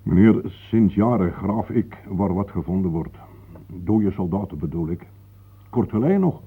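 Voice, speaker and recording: An actor playing an older, guarded, matter-of-fact local man with a husky voice, formally polite, in a Dutch radio play. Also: sits low, animated, measured pace, narrow, tinny audio, exaggerated voice.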